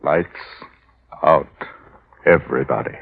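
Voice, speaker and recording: An actor playing a slow, ominous herald opening an old radio horror show. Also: male voice, rumbling low, gravelly, exaggerated voice. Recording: noisy, tinny old radio recording.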